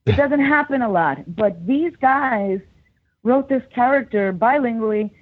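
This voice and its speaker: A woman's voice: fairly high pitch, expressive, unhurried. A middle-aged American actress talks through a tinny, phone-like line with no room echo. She tells her stories animatedly, slips into voices for quoted lines, and laughs often. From United States. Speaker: actress, interview guest. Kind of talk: casual interview chat.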